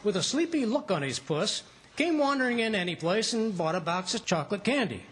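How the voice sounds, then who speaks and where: An actor playing a blustering, folksy husband given to fibs, in 1940s radio comedy style. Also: male voice, sits high, very animated, a little fast, fairly bright tone, breathy edge, exaggerated voice. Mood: scheming. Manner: folksy, flustered, slangy. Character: blustering, fibbing, folksy middle-aged husband.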